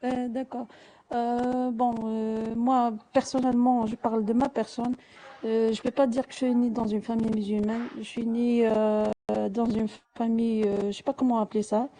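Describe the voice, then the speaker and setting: A woman's voice: fairly high pitch, little pitch movement, moderate pace, warm tone, low-quality recording. A woman giving personal testimony in a live discussion, hesitantly searching for words and repeating small ones. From Algeria. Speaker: live audio discussion participant.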